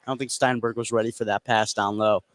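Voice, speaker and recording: A man's voice: lowish voice, level tone, fast, noisy background. A man working as a color commentator on a basketball broadcast, giving his opinions in an informal, conversational way. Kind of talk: conversational sports analysis.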